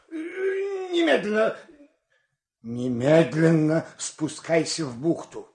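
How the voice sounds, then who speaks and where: Proud aging king, fairy-tale radio play, slow declamation, sweeping pitch, echoey. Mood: indignant. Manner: declamatory, dramatic, imperious. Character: proud aging king, commanding and weary, resigned to die fighting. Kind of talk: theatrical audio fairy-tale acting.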